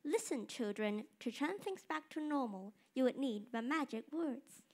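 A girl plays a patient, kindly fairy who explains things gently in a school radio drama. The voice sits high, and the lines are clear and carefully spoken. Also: lively intonation, moderate pace. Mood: instructive. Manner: gentle, instructive. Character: kindly fairy with light blue wings, patient.

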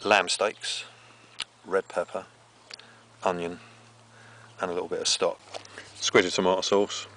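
Middle-aged man, low voice, casual vlog chat, outdoors, noisy camera audio. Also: little pitch movement, unhurried, pauses often. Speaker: outdoor cooking vlogger. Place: outdoors on a grassy riverbank under trees. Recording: outdoor camera audio with clear background noise.

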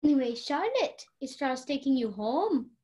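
High, animated radio-play villainess played by a young woman, a polished society lady who takes charge and schemes. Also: moderate pace, lo-fi sound. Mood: breezy, dismissive. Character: Lady Eleanor, commanding, scheming society lady hiding her crimes.

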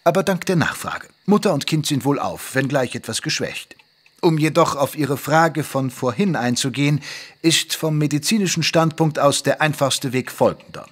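A man playing an ageing Victorian doctor, a loyal, wry companion who tells the story in a measured, literate way in an audio drama. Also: fairly high pitch, slightly rough. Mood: concerned, insistent. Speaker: narrator and character in an audio drama. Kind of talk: German audio drama narration and dialogue.

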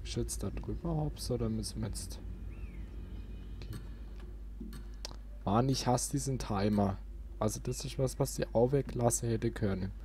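A man's voice: mid-range voice, moderate pace, long pauses, warm tone, a little hiss or hum. A man giving casual gameplay commentary as a let's play host.

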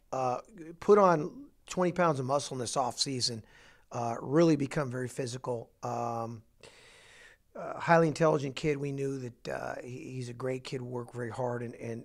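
A middle-aged man, a basketball coach, talking through his roster in an office interview with a handheld mic, halting with fillers and restarts. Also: mid-range voice, fairly even intonation, vocal fry. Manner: halting, many fillers and restarts, upbeat emphasis on each name. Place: office, indoors.

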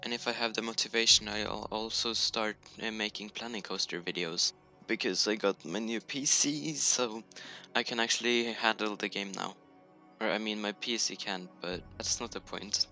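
Man, Norwegian accent, lowish voice, very bright tone, casual game voice-over, music in background. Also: fairly even intonation, moderate pace. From Norway. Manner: self-deprecating, joking asides. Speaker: YouTuber. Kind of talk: voice-over commentary on screen recording. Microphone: home computer mic, poor audio.

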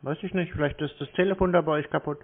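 A man talking curtly on a phone call, deadpan and mocking. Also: fairly high pitch, quick pace. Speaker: scam-baiter taking phone calls. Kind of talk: unscripted phone conversation. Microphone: telephone call recording. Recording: tinny narrow-band phone line.

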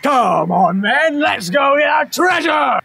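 A man playing an eager, hot-headed pirate crewman in an audio drama, excitable and theatrical. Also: extremely high pitch, slow pace, vocal fry, no room sound, shouting, exaggerated voice. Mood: fierce, excited.

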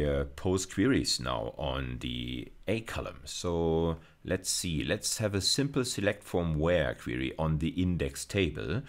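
A middle-aged man with an extremely low voice delivers a university video lecture. He explains things step by step, often repeating and rewording key phrases as he goes.

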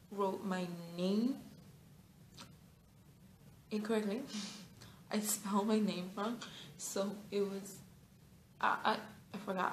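A woman's voice: mid-range voice, creaky, echoey room, slight background noise, poor audio. Giggly young Mexican-accented woman rambling. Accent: Mexican Spanish. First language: Spanish. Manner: rambling, giggly, lots of fillers and restarts. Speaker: beauty vlogger. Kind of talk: casual chat to camera. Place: small bright room indoors.

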